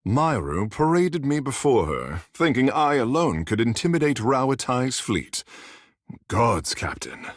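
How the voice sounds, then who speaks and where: Vain godlike fish-man, fantasy game hero, swooping expressive pitch, husky, seductive and boastful storyteller, close dry recording. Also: no echo, heavily acted. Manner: theatrical, boastful, languid storyteller. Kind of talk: fantasy video game character dialogue.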